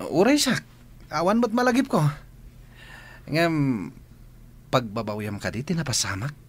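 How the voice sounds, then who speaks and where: A man's voice: a little high, wildly swooping pitch, unhurried, breathy edge, heavily acted. An actor with an Ilocano accent playing a persuasive, easygoing man who teases and insists in a radio drama. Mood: insistent, dismissive.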